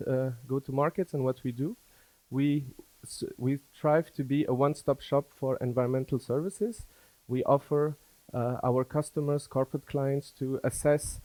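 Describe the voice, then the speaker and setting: A man from Afghanistan who was schooled in France, giving a business presentation as a company founder, with halting, hesitant phrasing. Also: mid-range voice, mellow, no echo, poor audio. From born in Afghanistan, schooled in France.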